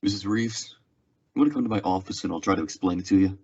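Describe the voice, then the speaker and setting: A man playing a weary, steady frontier marshal in an old-time radio western, terse, polite and restrained. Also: deep voice, fast talker, slightly rough. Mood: courteous, gentle. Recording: dull lo-fi radio drama recording.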